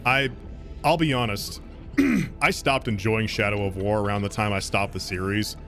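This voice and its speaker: A man's voice: fairly low pitch, normal speed, fairly bright tone, faint music behind. Rambling easygoing male streamer. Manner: rambling, restarts and fillers, laughs often. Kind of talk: casual gaming stream chat, reading donations.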